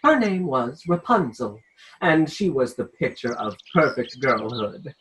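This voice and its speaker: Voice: medium pitch, big pitch swings, measured pace, fairly bright tone. An actor playing a stuffy, controlling, easily flustered fairy-tale narrator in an irreverent radio play. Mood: storytelling. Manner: theatrical, insistent, flustered when challenged.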